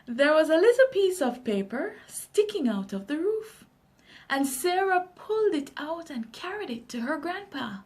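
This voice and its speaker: A woman with a Jamaican accent reading a children's story aloud in an expressive, unhurried way. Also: sits high.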